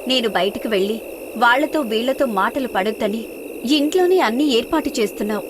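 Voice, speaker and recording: A woman's voice: on the high side, lively intonation, fairly quick, noisy background, close to an everyday voice. A woman voicing a gluttonous, guileless elder sister who excuses herself in a moral story. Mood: pleading.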